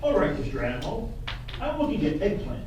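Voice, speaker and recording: Man acting high-voiced pedantic lawyer, very echoey. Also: huge pitch swings, a little fast. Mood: pressing, insistent. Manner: insistent, repetitive, pedantic. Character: pedantic, insistent male plaintiff's attorney puppet. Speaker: attorney questioning a witness. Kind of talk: courtroom cross-examination re-enactment. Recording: echoey room, distant mic, faint noise.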